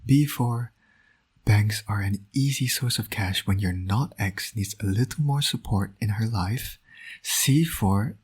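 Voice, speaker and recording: Husky, teasing villain dad played by a man. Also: on the low side, unhurried, a little mellow. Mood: mischievous. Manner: teasing, wry, flirtatious. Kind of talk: intimate scripted audio roleplay.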